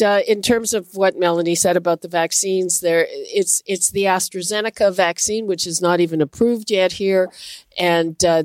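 A woman hosting a talk radio call-in show, chatting casually and moving briskly between callers with frequent hesitations. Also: lowish voice, a little mellow, dry recording.

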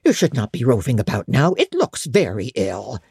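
Woman putting on a gruff old servant woman, low and husky, storybook. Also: wildly swooping pitch, normal speed, warm tone, dry recording, clean studio sound, heavily acted. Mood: suspicious, stern. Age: older. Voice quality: gruff, plain-spoken. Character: blunt, suspicious old female servant.

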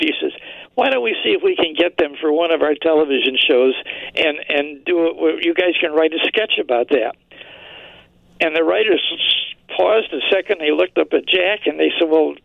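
Older man with a creaky voice. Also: on the high side, dry recording, phone-quality sound. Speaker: radio historian guest. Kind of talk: anecdotal storytelling in a radio interview.

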